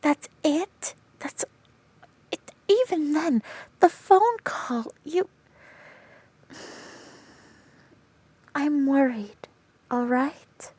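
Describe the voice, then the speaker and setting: Girl playing a worried bestie, high voice, scolds then whispers soothing stuff, takes her time. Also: animated, slow, no room sound. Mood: anxious, pleading. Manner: exasperated scolding turning to soft whispered soothing. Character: protective, fretful young woman friend. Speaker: comforting friend. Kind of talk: audio roleplay to the listener.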